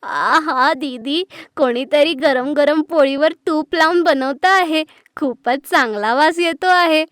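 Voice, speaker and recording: A very high, girlish voice, put on by a woman, for a mischievous little sister in an animated tale. She is bold and cheeky, and the sound is dry and close. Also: slow pace, dry recording. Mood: delighted. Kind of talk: animated moral story voice acting.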